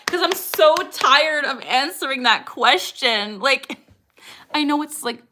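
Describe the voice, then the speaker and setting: A young woman hosts a casual live Q&A, reading out questions and chatting in an upbeat way. Her voice is bright and pitched a little high. She laughs often, and sudden vocal tics cut into her sentences. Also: lively intonation, slow. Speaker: livestreamer answering chat questions. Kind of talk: casual livestream Q&A chat.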